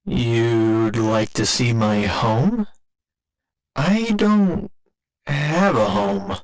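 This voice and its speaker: A man playing a gentle, earnest robot boyfriend in an ASMR roleplay, speaking in formal, measured, precise sentences. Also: mid-range voice, animated, rough recording. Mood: earnest, tender. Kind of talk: ASMR roleplay, scripted intimate monologue.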